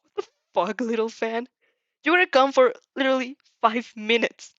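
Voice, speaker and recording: A woman's voice: a little high, fairly even intonation, moderate pace, fairly bright tone, dry recording, pitched above the performer's own voice, close to an everyday voice. A woman voicing a protective young male hero from a fan fiction story, fond, worried and scolding. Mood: angry concern. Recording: dull lo-fi microphone.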